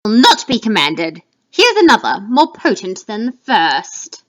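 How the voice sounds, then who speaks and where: A woman's voice: mid-range voice, wildly swooping pitch, a little slow, fairly bright tone. A woman voicing eerie, commanding witches and prophetic apparitions in a Shakespeare dramatic reading, with emphatic, incantatory delivery. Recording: dull lo-fi home recording.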